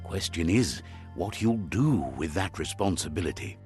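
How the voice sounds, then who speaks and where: A low male voice with lively, swooping intonation narrates a video game fable. He sounds like a wry old-style storyteller, measured and philosophical, with music playing underneath. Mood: reflective, sage.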